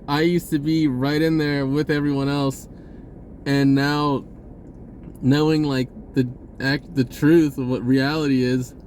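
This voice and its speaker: A man speaking in a casual, rambling spiritual monologue, halting and drifting in an informal way. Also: fairly high pitch, darkish tone, slight background noise. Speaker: spiritual vlogger.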